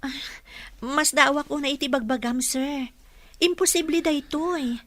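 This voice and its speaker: Humble young Ilocano country woman, high bright voice, respectful radio drama delivery. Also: measured pace, dry recording. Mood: flustered, disbelieving. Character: humble young provincial woman employee, polite to her boss.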